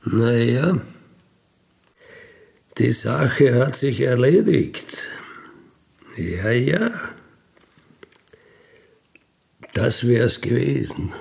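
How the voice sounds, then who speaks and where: A wistful ex-robber muses in an intimate, naturalistic radio play monologue. He talks very slowly and haltingly, with a gravelly, creaky edge, often trailing into murmurs as he dreams of being free. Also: mid-range voice, expressive, a little mellow, heavily acted. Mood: regretful, nostalgic. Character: wistful dying ex-robber dreaming of freedom in Siberia.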